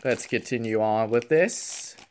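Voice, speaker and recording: A man quietly narrating a trading card pack opening, half whispering as he reads card names and comments on them, recorded indoors at a table on a phone camera. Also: medium pitch, a little slow, a little hiss or hum. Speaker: card unboxing youtuber.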